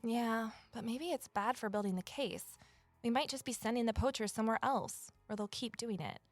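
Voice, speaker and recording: Woman acting as earnest lady amateur detective for an audio drama, gentle and confiding, no room sound at all. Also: a little high, normal speed, no echo, faint music behind, natural voice. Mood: matter-of-fact, confiding. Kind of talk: audio drama dialogue and first-person narration.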